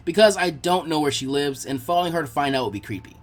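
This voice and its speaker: Man voicing a brash, excitable young ninja boy. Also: a little high, fast talker, natural voice. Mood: casual. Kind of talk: fan fiction character reading.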